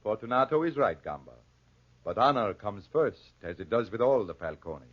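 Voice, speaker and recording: Muffled old radio drama recording of a proud, grave farmer father. His low voice swings expressively and carries a husky edge as he tells his story with deliberate weight. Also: expressive, no room sound. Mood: firm, proud. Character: proud, honor-bound Corsican farmer father, narrating his tragedy. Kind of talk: 1940s radio drama acting.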